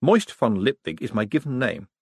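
A man voicing a wary young male con man with a dry, measured way of talking. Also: medium pitch, sing-song, darkish tone, slightly rough, no room sound. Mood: candid.

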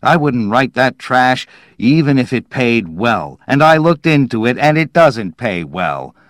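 A man's voice: low voice, a little slow, dry recording, studio quality. A man voicing an irritable, scornful male writer in an audiobook story, ranting emphatically and dismissively.

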